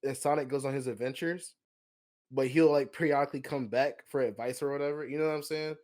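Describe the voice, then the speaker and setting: A man's voice: medium pitch, fairly even intonation, fast talker, darkish tone. A man chatting casually as a podcast co-host, opinionated and slangy, often repeating himself as he argues. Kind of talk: casual chat, film discussion.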